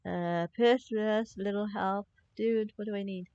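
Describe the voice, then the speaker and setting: A woman's voice: mid-range voice, fairly even intonation, unhurried, mellow, smooth, dry recording. A woman giving casual live gameplay commentary, chatty and informal, often muttering reactions to herself. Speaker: game streamer. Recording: slightly dull stream mic.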